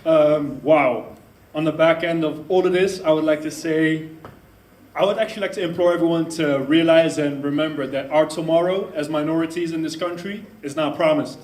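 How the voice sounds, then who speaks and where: A man in his twenties from the Netherlands makes his point to the room with earnest conviction. His voice sits somewhat high and rings in an echoey space. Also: darkish tone. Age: 20s. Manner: earnest, apologetic about swearing. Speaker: audience speaker at a debate. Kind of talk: public debate speech.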